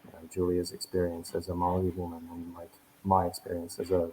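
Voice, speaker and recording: Man moderator, deep voice, warm mellow tone, rambling, hesitant. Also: monotone, brisk, a little hiss or hum, poor audio. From New Zealand Māori. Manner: rambling, tentative questions. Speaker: panel moderator. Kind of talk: panel discussion.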